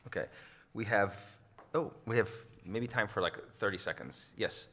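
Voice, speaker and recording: A man with a low voice and a bright, forceful tone answers audience questions after a conference talk. He restarts his sentences often and leans on fillers as he explains. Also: moderate pace. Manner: hesitant, many restarts and fillers. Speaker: conference presenter.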